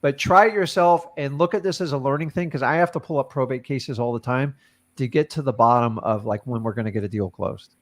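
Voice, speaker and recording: A man's voice: mid-range voice, fairly quick. A man hosting a coaching call, giving blunt and casual advice to students over a video call. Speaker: host and wholesaling coach.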